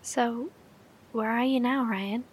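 A highish, husky female voice, tentative and full of care. An actress plays an anxious radio operator holed up in a bunker in a post-apocalyptic horror drama. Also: steady tone, takes it slowly. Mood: worried. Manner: tentative, concerned. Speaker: actor.

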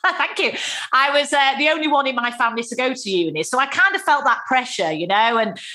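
A woman in her fifties with a Mancunian accent, chatting as an interview guest over a video call, self-deprecating and quick to laugh. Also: sits high, expressive, bright, forceful tone. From Manchester, England. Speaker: guest, entrepreneur. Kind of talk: casual interview chat.

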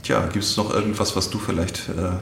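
Male interviewer, casual conversational questions, fry in the voice, roomy echo, light background noise. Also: low voice, little pitch movement, fairly quick, fairly bright tone. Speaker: podcast interviewer.

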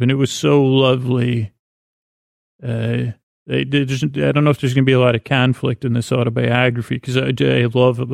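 Guy rambling a silly bedtime podcast, gravelly fry, super dry close sound, keeps trailing off. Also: medium pitch, darkish tone, dry recording. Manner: halting, meandering, trailing off mid-thought. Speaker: podcast host. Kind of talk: rambling comedic bedtime monologue.

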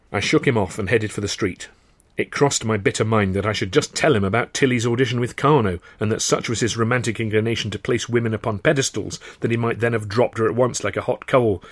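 A man with an English accent reads his own novel aloud in measured, first-person storytelling. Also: on the low side, no echo. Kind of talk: dramatised audiobook narration.

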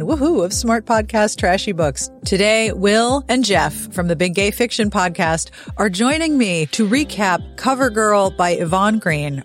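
A woman hosting a podcast, reading scripted intros and sponsor spots in a chatty, emphatic way. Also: medium pitch. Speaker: podcast host. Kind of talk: scripted podcast intro and host-read ads.

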